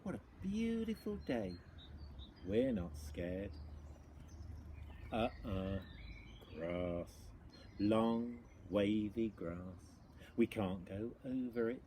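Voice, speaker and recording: A man performs a children's storybook as a theatrical storyteller. His pitch swings widely from line to line and he takes his time over each phrase. Faint ambient noise sits behind the voice. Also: big pitch swings, mellow. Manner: theatrical, exaggerated, deliberate delivery with repeated phrases. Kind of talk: dramatic children's picture-book reading.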